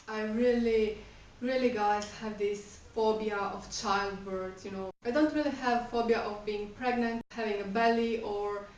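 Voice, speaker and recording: A woman's voice: medium pitch, muffled recording, a little hiss or hum. A woman in her thirties, a non-native English speaker whose first language is Croatian, answering viewer questions in a chatty vlog, with many fillers. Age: 30s. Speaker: vlogger. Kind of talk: casual Q&A vlog. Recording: echoey room, mic a bit far off.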